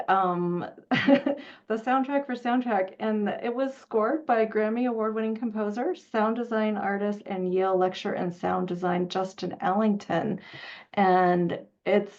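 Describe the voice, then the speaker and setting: A woman's voice: mid-range voice, a little mellow, lo-fi sound. A woman interviewing in an effusive, admiring way, feeling out her questions as she talks. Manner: effusive, admiring, halting delivery. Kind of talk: enthusiastic interview conversation.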